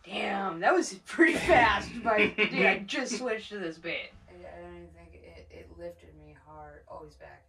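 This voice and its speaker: A young man narrating his ice fishing to the camera, excitable and quick to exclaim, recorded in a small wooden fish house. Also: high voice. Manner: excitable, swears and exclaims at bites. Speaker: fishing vlogger. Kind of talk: excited casual commentary.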